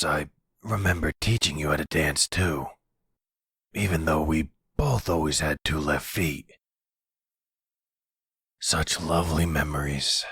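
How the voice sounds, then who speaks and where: Man acting a very deep, husky mafia boss. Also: a bit bright, dry recording, studio quality, full character voice. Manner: slow, menacingly tender, condescending. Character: possessive, delusional middle-aged male mafia boss and husband. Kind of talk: ASMR roleplay acting.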